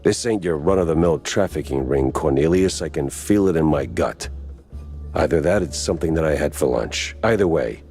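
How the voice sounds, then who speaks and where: Very deep, hard-boiled mouse detective. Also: male voice, lively intonation, moderate pace, darkish tone. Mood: brooding, wry. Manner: wry, terse tough-guy talk. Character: hard-boiled male mouse private detective, dry and gruff. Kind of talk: noir game dialogue. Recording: music behind the voice.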